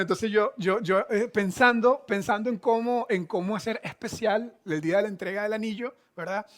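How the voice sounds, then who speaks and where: Casting man in his 30s, upbeat relaxed teacher, higher voice. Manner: chatty, joking, shows books. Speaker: pastor. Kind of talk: casual teaching talk.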